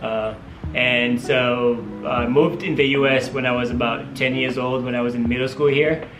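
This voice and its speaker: A man's voice: medium pitch, fairly even intonation, normal speed, reverberant, low-quality recording. A young man from Tanzania with a Tanzanian accent and a Swahili mother tongue, a medical student chatting eagerly with many fillers as an interview guest. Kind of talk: casual interview.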